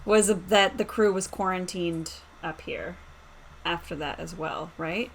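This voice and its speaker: A woman's voice: on the low side, a little slow, creaky, a little hiss or hum, low-quality recording. A woman speaking casually as a player in a tabletop roleplaying game.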